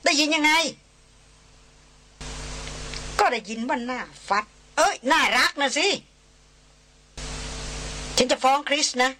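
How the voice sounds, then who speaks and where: A woman reading the part of a lively, teasing young woman on a jungle trek, cheerful and playful. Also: high voice, normal speed, slight background noise, pitched above the performer's own voice, full character voice.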